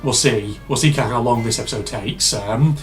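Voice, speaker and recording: A man hosting a casual reaction video, rambling and chatty with lots of fillers, his voice slightly hoarse and congested from a cold. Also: mid-range voice, a little fast, soft background music.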